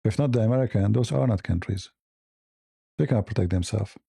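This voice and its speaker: A man's voice: on the low side, brisk, gravelly, warm tone, slightly rough, no echo, studio quality. A middle-aged man giving blunt, mocking live commentary on images, rambling with frequent restarts.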